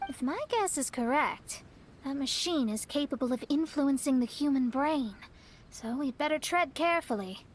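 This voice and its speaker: A woman playing a proud, thoughtful young woman in a video game, speaking in deliberate, formal phrases. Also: sits high, animated, a little hiss or hum. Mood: pensive. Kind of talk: video game cutscene acting.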